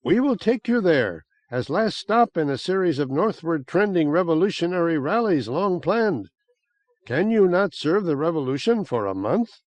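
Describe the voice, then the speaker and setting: Man doing a shrill fiery revolutionary woman. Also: expressive, a little slow, few pauses, darkish tone, dry recording. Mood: eager. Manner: shrill, theatrical wailing.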